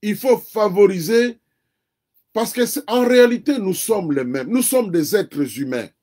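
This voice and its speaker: High-voiced Congolese man, earnest. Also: lively intonation, unhurried, darkish tone. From Democratic Republic of the Congo. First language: Kikongo. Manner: earnest, argues point by point with repetition. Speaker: interview guest. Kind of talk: earnest conversational commentary.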